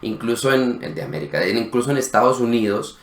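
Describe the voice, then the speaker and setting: A man from Colombia with a Colombian accent, co-hosting a casual conversation and explaining in a relaxed, agreeable way. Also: medium pitch, fast. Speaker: co-host. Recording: echoey room.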